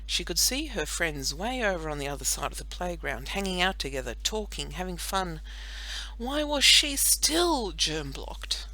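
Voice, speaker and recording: A woman narrating a children's audiobook in an animated storytelling style. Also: on the low side, moderate pace, poor audio.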